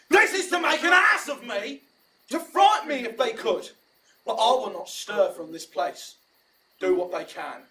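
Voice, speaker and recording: A man's voice: sits high, bright, forceful tone, distant mic, exaggerated voice, rough recording. A man playing a bumbling, self-important amateur actor in a Shakespearean audio drama, declaiming pompously.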